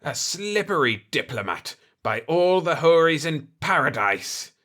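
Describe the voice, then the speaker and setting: A man's voice: a little high, big pitch swings, measured pace, pushed and bright, pitched above the performer's own voice, heavily acted. Guy doing a pompous villain king for a story, florid threats, big and theatrical. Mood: outraged, menacing. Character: pompous burly villainous desert potentate, grandiose and menacing.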